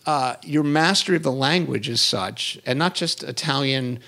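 Gravelly middle-aged male interviewer. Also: medium pitch, normal speed, pushed and bright. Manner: curious, prompting questions. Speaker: interviewer, cookbook co-author. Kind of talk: conversational interview.

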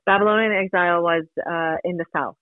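A woman's voice: on the low side, steady tone, fairly quick, no echo. Woman on a thin phone line. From Iran. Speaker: interview guest, human rights advocate. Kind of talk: earnest interview conversation. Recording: thin telephone-like radio line.

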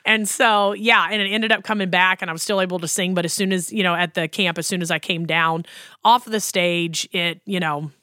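Woman, thirties, worship pastor, chatty, lower pitch, bright tone, dry sound. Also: steady tone, brisk, dry recording. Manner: chatty, frequent ums and likes. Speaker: worship pastor and podcast host. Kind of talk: casual personal storytelling.

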